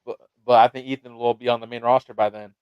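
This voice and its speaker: A man's voice: medium pitch, little pitch movement, fast, smooth, no echo, lo-fi sound. A man hosting a casual wrestling talk stream, often stammering and restarting as he thinks aloud, chuckling at his own ideas. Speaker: wrestling show host. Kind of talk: casual livestream chat.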